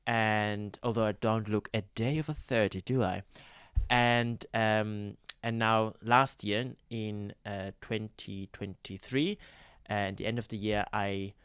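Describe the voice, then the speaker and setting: Soft-spoken man, smooth voice. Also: fairly low pitch, steady tone, slow pace, dry recording, studio quality. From Switzerland. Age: 30s. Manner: gentle, campy, addresses viewers as darlings, hesitant with fillers. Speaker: YouTuber, ex-Scientologist. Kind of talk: personal testimony vlog.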